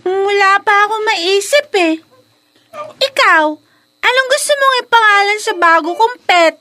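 A Filipino woman playing a sweet, excited ten-year-old girl in a radio drama, polite and gushing. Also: extremely high pitch, measured pace, full character voice. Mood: eager, friendly.

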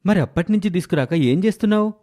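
Man acting a brisk, reproving village doctor. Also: high voice, expressive, a little mellow, pitched above the performer's own voice. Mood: concerned, reproving. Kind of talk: animated moral story dialogue.

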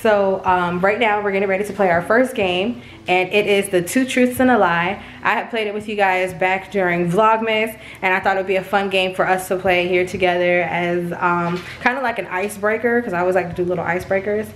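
A woman's voice: mid-range voice, fairly even intonation, fairly quick, a little hiss or hum. A woman in her thirties hosting a family vlog, chatting casually and laughing often, filmed in her home kitchen. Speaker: vlog host. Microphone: handheld camera at arm's length.

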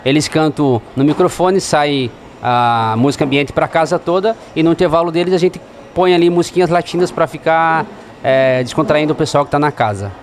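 A man's voice: a little high, normal speed, slight background noise. A man in his thirties, a restaurant manager, explaining things plainly in an interview in a restaurant dining room into a handheld mic. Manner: plain, explanatory, frequent "né" tags. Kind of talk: interview answers. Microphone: handheld microphone held by host.

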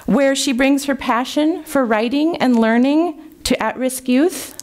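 A woman's voice: high voice, unhurried, a little mellow. A woman in her sixties hosting a library poetry event, introducing guests in a friendly, informal way at a lectern. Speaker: event host. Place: library meeting room. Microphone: lectern microphone.

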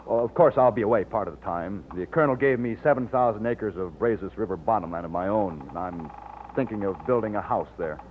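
An actor as a low-voiced, wistful radio romance hero. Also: male voice, brisk, a little hiss or hum, natural voice. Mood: bashful. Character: wistful, self-doubting one-armed man narrating his own romance. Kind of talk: 1940s radio acting, narration and dialogue. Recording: muffled old-time radio recording.

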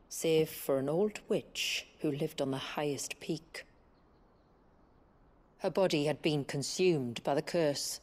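Solemn low-voiced woman storyteller. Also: a little mellow. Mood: grave, reverent. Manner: measured, formal, earnest. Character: grave village woman recounting her people's tragic past. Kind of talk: solemn scripted storytelling in video game drama.

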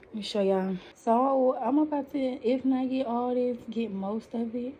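A woman in her early twenties chatting casually to her phone camera in a vlog, slangy and excitable, squealing high when thrilled. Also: a little slow, slight background noise. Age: early 20s. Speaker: vlogger. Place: apartment rooms, then parked car and outdoor parking lot. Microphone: phone held at arm's length.

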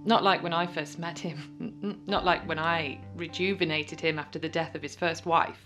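An actress playing a bitter, scornful widow in an audio drama monologue, blunt and confessional with halting moments. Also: female voice, sits low, steady tone, a bit bright, soft background music. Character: bitter, scornful second wife, now widowed.

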